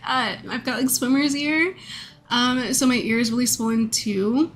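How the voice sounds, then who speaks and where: A woman in her thirties chats at her desk mic while streaming, her voice on the higher side and noticeably nasal from a stuffed-up nose, with a faint croak. She rambles easily through fillers and quick laughs, and soft music plays faintly underneath. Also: fairly even intonation. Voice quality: a bit croaky. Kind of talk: casual chat with viewers.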